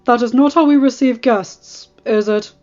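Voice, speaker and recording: A woman voicing a booming, hospitable commander of a fortress. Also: moderate pace, darkish tone, natural voice. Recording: dull audio with faint music.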